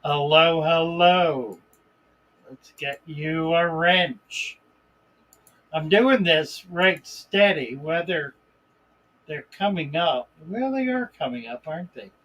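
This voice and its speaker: A man hosting a live stream in a relaxed, unhurried way, greeting viewers by name and reading their comments aloud. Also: a little high, lo-fi sound. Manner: relaxed, unhurried, chuckles between remarks. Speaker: livestream host.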